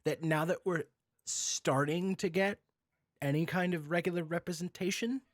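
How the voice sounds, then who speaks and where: Male podcast host, fairly high-pitched, animated and rambling, sweary, casual, recorded very dry. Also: normal speed, dry recording.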